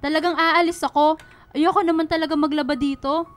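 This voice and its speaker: A woman's voice: high voice, fairly even intonation, a little fast, soft background music, lightly acted. A woman playing an honest, hardworking laundrywoman in a radio drama, earnest and polite. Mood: indignant. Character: honest, hardworking, humble laundrywoman and single mother. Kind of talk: Filipino radio drama acting.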